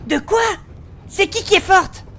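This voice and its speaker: A woman's voice: extremely high pitch, unhurried, bright, forceful tone, a little hiss or hum, full character voice. A woman playing a touchy, bossy adventurer in a comic fantasy audio drama, with a defensive manner. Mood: indignant. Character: touchy, bossy, high-voiced female adventurer.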